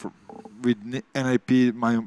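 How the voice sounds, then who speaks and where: A man with a Serbian accent giving relaxed, opinionated esports analysis and ending thoughts with "right?". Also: medium pitch, moderate pace. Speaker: studio analyst. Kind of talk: casual esports analysis chat.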